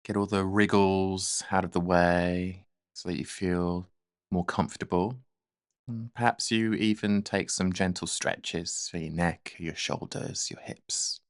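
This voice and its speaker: A man leading a guided meditation in a gentle, soothing, unhurried manner. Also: low-pitched, steady tone, dry recording, clean studio sound.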